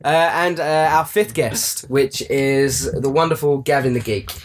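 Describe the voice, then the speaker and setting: A man's voice: medium pitch, measured pace, noisy background. A man hosting a casual podcast, rambling and joking while stumbling over his words. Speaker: podcast host.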